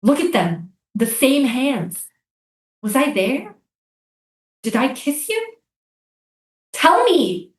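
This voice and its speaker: A woman's voice: high voice, very animated, drawn-out words, long pauses, full character voice. A woman playing an earnest, insistent American soldier and interrogator in a staged reading of a drama. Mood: desperate, demanding. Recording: roomy, echoey live stage reading.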